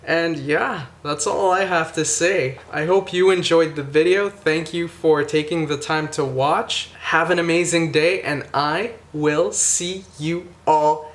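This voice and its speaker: A man with a slightly high voice, speaking casually and with real enthusiasm. He is a vlog host talking straight to his viewers, friendly and thankful in tone. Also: slow pace, few pauses. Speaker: YouTube reaction channel host. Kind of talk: casual vlog recap to camera.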